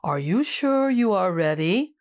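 A woman voicing a wise, amused elder broccoli kung fu master in a storybook, measured and patronising. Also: mid-range voice, sing-song, pitched below the performer's own voice.

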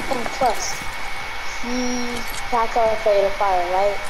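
A woman playing a former fighter mother in an improvised video game roleplay, speaking haltingly. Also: fairly high pitch, steady tone, heavy background noise. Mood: matter-of-fact. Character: game mother, once a fighter, now without powers. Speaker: amateur roleplay player.

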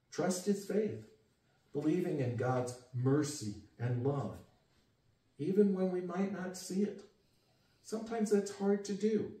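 An older man gives an earnest sermon, his voice warm and mellow with a slightly raised pitch. He is heard from across a living room through a distant camera mic, with strong echo around him. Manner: earnest, gesturing, rises for emphasis and drops quiet. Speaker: pastor. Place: home living room with guitars and a TV. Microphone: far camera. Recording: echoey room from far off.

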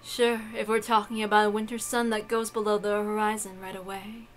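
A woman voicing an aloof, sly, unimpressed witch girl with a dry, deadpan delivery in a visual novel. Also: mid-range voice, fairly quick, soft background music, close to an everyday voice.

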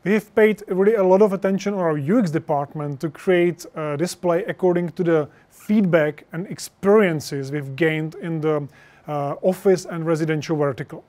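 A man in his thirties gives a product presentation into a lapel mic. His voice sits a little high for a man. He explains point by point, with non-native English phrasing. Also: normal speed, a little mellow. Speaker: product presenter for an intercom maker. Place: indoor studio stage with projection screen.

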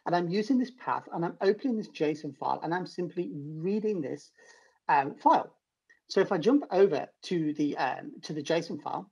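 A middle-aged British man with a husky voice explains a technical demo step by step in an informal screen-share tutorial. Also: on the high side, a little mellow, low-quality recording. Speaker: developer advocate giving a technical demo. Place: home room, indoors.

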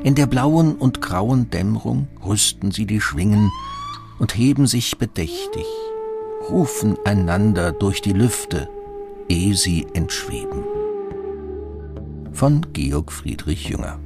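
A man with a creaky voice reciting a poem for a radio feature. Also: lowish voice, huge pitch swings, slow. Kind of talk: slow poetry recitation. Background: music.

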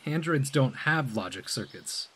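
An actor playing a cryptic male mentor in a science-fiction audio drama, measured and evasive. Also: medium pitch, animated, fairly bright tone, husky, poor audio. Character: cryptic, calm male mentor guiding an android.

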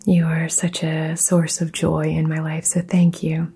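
A woman whispering soothing affirmations in an ASMR session, soft and gentle. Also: sits low, monotone, unhurried, slightly rough. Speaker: ASMR host.

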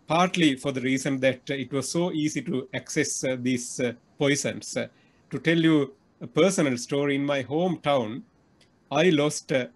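A middle-aged man interviewing over a video call, earnest, with many fillers and restarts. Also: medium pitch. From Sri Lanka. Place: indoor room.

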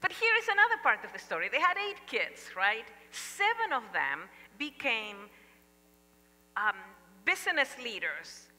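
An older woman with an Argentine Spanish accent gives a lively chapel talk, telling a family story with humorous asides. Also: a little high, measured pace. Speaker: chapel speaker. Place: large church sanctuary stage. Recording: long reverb of a large hall.

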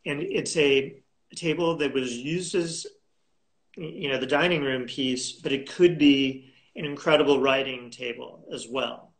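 Slow-talking man on a video call. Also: medium pitch, a bit bright, poor audio. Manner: unhurried, halting, many fillers and restarts. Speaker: auction house specialist, guest. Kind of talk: conversational interview over video call. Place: home room indoors. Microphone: video call webcam.

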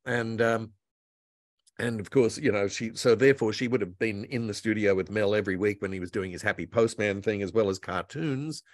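Older man with a low voice. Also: brisk, no room sound, studio quality. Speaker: guest voice actor and author. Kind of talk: casual reminiscing discussion. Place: indoor room at home, on a video call. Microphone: video call.

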